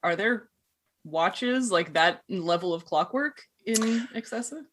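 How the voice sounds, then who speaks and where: Bright-voiced woman playing a tabletop game. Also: medium pitch, lively intonation, slow, a little hiss or hum. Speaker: player in a tabletop roleplaying game. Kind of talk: casual game chat.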